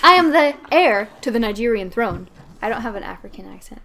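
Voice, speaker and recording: A woman's voice: a little high, animated, a little fast, bright, forceful tone. A woman co-hosting a podcast, reading a joke email aloud and giggling through it. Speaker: podcast co-host.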